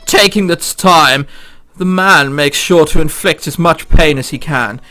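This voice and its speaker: A man's voice: on the high side, a little slow, bright, forceful tone, faint music behind. A man who is a let's player reading a visual novel aloud dramatically, with exclamatory, sweary joking commentary.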